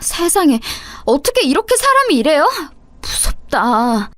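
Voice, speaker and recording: Woman playing an indignant tearful daughter, high shrill voice, dry close sound. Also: very animated, measured pace, fairly bright tone, dry recording, exaggerated voice. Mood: outraged. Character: shocked, tearful grown daughter.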